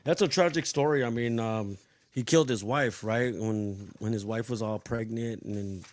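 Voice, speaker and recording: A man's voice: on the low side, normal speed, no echo. A man in his fifties hosting a podcast, rambling and hesitant with many fillers. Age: 50s. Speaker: podcast host. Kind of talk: casual podcast chat.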